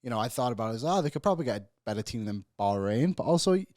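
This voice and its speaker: A man co-hosting a sports podcast, rattling along quickly with loose, easygoing chatter. He sits close to the mic. The sound is dry, with no room around it. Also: mid-range voice, lively intonation, darkish tone, dry recording. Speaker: podcast co-host. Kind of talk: casual sports chat.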